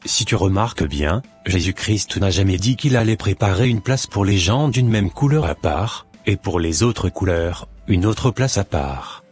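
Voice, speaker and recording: A man's voice: deep voice, expressive, unhurried, few pauses, husky, heavily acted. A man playing a stern, solemn heavenly messenger who preaches in declamatory phrases in a cartoon, over music. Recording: music behind the voice.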